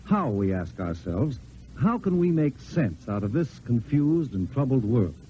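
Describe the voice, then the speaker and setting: Male spokesman for a radio message, mellow and earnest, measured rhetoric, dull old recording. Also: mid-range voice, very animated. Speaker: spokesman delivering a church message. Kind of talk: scripted inspirational radio address. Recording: dull vintage radio recording, faint hiss.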